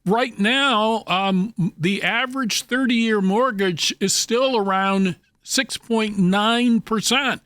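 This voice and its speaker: A man speaking as an expert commentator in an interview, halting and deliberate as he explains economics. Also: high voice, fairly bright tone, no echo.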